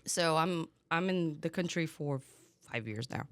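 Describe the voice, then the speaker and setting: A woman's voice: deep voice, lively intonation, a little slow. A woman in her thirties from Brazil, whose first language is Portuguese, talking candidly as a podcast guest and laughing often. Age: 30s. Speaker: head brewer guest. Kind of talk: casual conversation.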